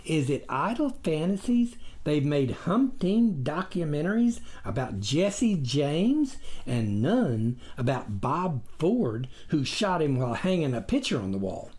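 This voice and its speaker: Male narrator as persuasive imaginary tempter, audiobook character, very animated pitch, eager, needling, folksy, wheedling. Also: unhurried, hardly any pauses. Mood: persuasive, insistent.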